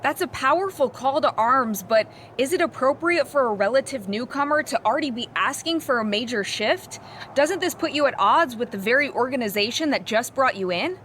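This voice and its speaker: A woman's voice: high voice, expressive, a little fast, fairly bright tone, a little hiss or hum. A woman playing a polished backstage wrestling interviewer in a video game, asking probing questions. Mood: challenging.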